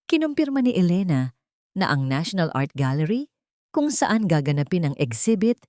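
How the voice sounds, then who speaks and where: A woman's voice: sits low, a little mellow, no room sound, clean studio sound. A woman narrator reading a scripted, dramatic love story for an audio drama.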